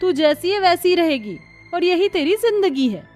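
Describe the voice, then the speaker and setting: A woman's voice: fairly high pitch, very animated, moderate pace, faint music behind. A woman voicing a scheming, mocking aunt in a cartoon, sneering and falsely sweet. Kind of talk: Hindi cartoon voice acting.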